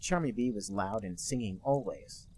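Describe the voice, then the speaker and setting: A man co-hosting a comedy podcast, reading a bad fan story aloud in a deadpan voice and adding mocking asides. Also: lowish voice, moderate pace, breathy edge.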